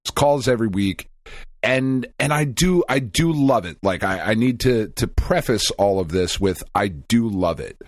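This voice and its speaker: A man's voice: lowish voice, animated, moderate pace, no echo, clean studio sound. A man co-hosting a casual podcast, rambling and venting with lots of swearing and restarts. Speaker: podcast co-host.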